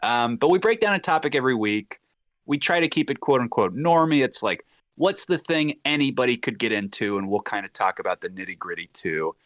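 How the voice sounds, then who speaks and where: A man's voice: mid-range voice, animated, fairly quick, a bit bright, dry recording. A man chatting casually as a podcast guest, with a wry, jokey way of talking. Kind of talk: casual chat.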